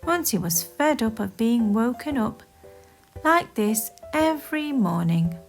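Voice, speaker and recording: A woman reading a children's story aloud as expressive scripted narration, in a deliberate, animated manner. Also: on the high side, gravelly, soft background music.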